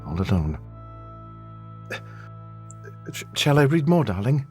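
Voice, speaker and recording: An actor playing a gentle, devoted and anxious gentleman husband in a radio drama. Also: mid-range voice, big pitch swings, fairly quick, breathy edge, soft background music. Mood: reading aloud.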